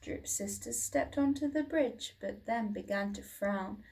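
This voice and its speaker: A woman's voice: mid-range voice, unhurried, gravelly, a little mellow. A woman reading a children's storybook expressively, like a teacher, recorded at a distance from the mic.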